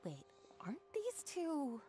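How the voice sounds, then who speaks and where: A woman's voice: sits high, huge pitch swings, takes it slowly, dark tone, exaggerated voice. A woman voicing a friendly, confident female shop owner who speaks in a businesslike way. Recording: game audio with faint music.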